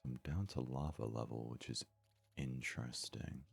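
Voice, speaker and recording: A man giving soft-spoken ASMR game commentary in an unhurried, hesitant way, as if thinking aloud. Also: rumbling low, fairly even intonation, gravelly, breathy edge, soft background music. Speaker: gaming video host.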